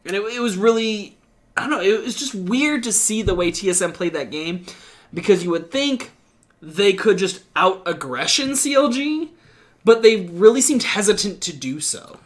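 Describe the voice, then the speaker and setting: A man hosting a casual esports podcast, talking quickly with restarts and the odd swear word. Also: high-pitched, expressive. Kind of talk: casual esports analysis chat.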